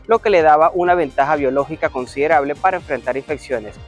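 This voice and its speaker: A man's voice: fairly high pitch, fast, soft background music. A man hosting a show, reading a science segment and introducing guests in an upbeat presenter's manner. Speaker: show host.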